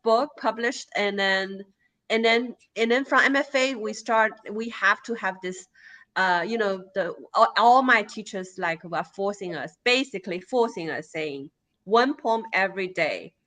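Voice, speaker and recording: Bright-voiced woman with a Chinese accent. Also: mid-range voice, unhurried. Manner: hesitant, many fillers and restarts. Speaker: guest poet. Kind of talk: conversational interview answer.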